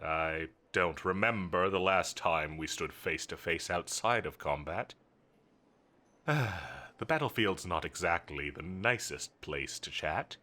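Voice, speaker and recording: Man playing a slow-drawling, suave villain commander. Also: sits low, expressive, bright, forceful tone, heavily acted. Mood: amused, teasing. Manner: drawling, flirtatious teasing with commanding edge. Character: confident, teasing male villain commander, suave and bossy. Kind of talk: scripted roleplay audio drama addressed to the listener.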